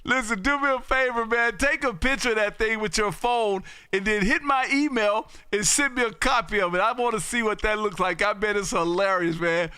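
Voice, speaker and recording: Male ham radio host, extremely bright and forceful, clean clear tone, exuberant folksy chatter. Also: sits high, moderate pace, few pauses, no echo. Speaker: amateur radio operator hosting a live stream. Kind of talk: casual radio chat and calling. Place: home radio room.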